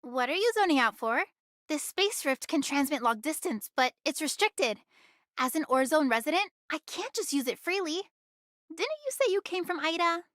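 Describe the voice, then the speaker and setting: A cheeky young female guide from a sci-fi anime game. Her voice sits high and swings widely in pitch. She talks in a chatty, teasing way, like scripted game dialogue full of expression. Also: animated, moderate pace, a bit bright, dry recording, clean studio sound. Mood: impatient, teasing. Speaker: game character voice.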